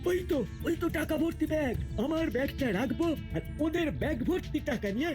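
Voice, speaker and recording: A man voicing a greedy, excitable small-time cartoon crook who repeats his words. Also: extremely high pitch, fast talker, music in the background. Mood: gleeful greed.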